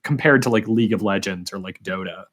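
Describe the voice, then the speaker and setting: A man's voice: lowish voice, fast, a bit bright. A man hosting a casual interview, speaking in a conversational, somewhat hesitant way. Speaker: podcast host, interviewer.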